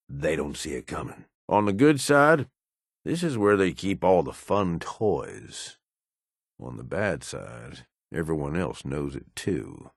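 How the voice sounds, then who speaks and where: An actor playing a cynical, middle-aged raider lieutenant in a video game, with an American accent and a blunt, world-weary, colloquial manner. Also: male voice, low-pitched, dry recording, clean studio sound, full character voice. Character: gruff, cynical male raider gang lieutenant in a post-apocalyptic wasteland. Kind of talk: video game character dialogue.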